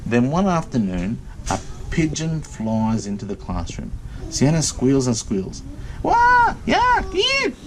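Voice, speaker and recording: A man reading a picture book aloud to small children, adding simple, gentle asides as he goes. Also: mid-range voice, animated, a little hiss or hum, rough recording. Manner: pauses for gentle, simple commentary aimed at children.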